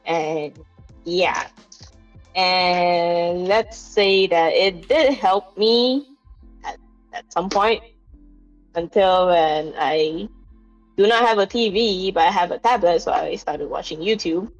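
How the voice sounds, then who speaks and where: A young woman from Malaysia chatting casually as a guest, often halting and repeating words. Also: lowish voice, dull audio, soft background music. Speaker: podcast guest. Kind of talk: casual chat.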